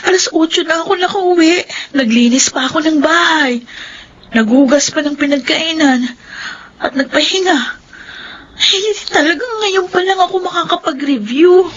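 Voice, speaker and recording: A woman's voice: very high voice, moderate pace, fairly bright tone, lo-fi sound. A woman playing a put-upon, aggrieved eighteen-year-old student in a Filipino radio drama, with a plaintive delivery. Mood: weary, complaining.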